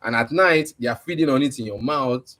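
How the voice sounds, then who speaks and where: A man's voice: medium pitch, fairly quick, a bit bright. A man from Nigeria hosting a livestream, chatting casually and explaining things in a conversational way. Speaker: livestream host and podcaster.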